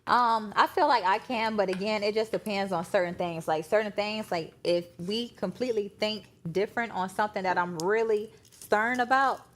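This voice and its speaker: An American woman with a medium-pitched voice takes part in a panel chat. She talks casually and colloquially. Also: normal speed, hardly any pauses, a little hiss or hum. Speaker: talk show panelist.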